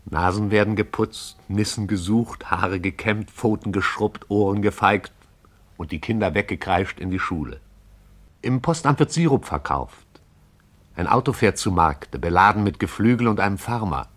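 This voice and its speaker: A man narrating a literary radio play in a measured, descriptive storytelling way. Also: fairly low pitch, animated.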